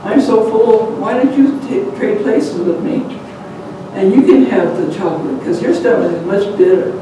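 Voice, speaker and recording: A man's voice: high-pitched, lively intonation, normal speed. A man voicing a small, sly trickster rabbit who teases and coaxes, in a folk tale told aloud. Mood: wheedling. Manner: teasing, wheedling, mocking. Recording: distant, echoey, noisy live room.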